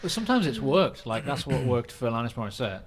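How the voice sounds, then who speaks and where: A man's voice: medium pitch, sing-song, fast talker, a little hiss or hum. A man hosting a podcast, chatting casually about things in an informal, conversational way. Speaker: podcast host. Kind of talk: casual chat.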